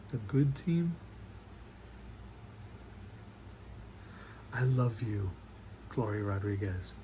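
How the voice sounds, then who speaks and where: A man playing a doting, secretive father in an online play, speaking tenderly and haltingly to his daughter. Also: mid-range voice, mellow, a little hiss or hum, lightly acted, rough recording. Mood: tender, wistful. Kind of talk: dramatic monologue in an online play.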